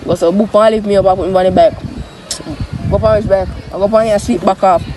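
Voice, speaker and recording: A young man with a Jamaican accent telling stories casually to friends in an animated, repetitive, slangy way. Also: high-pitched, quick pace. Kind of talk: casual storytelling among friends. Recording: noisy outdoor recording with traffic.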